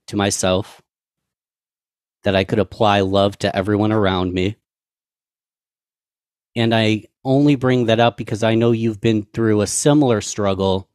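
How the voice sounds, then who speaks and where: A man hosting a podcast interview, asking his guest a question in a slow, deliberate way through a headset. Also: on the low side, a little mellow, no echo, studio quality. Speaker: podcast host. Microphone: headset on video call.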